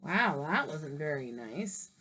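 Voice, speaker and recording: A woman's voice: deep voice, big pitch swings, slow pace, sharp tone, breathy edge, voice pushed down, heavily acted. A woman reading aloud as a male wolf telling his side, mock-innocent and indignant. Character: wolf, male, grumbling sly self-pitying narrator.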